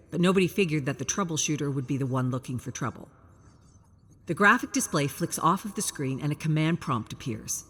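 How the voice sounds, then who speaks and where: A woman's voice: deep voice, slightly rough. A woman reading a scripted story as a dramatic, suspenseful narrator. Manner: present-tense suspenseful storytelling.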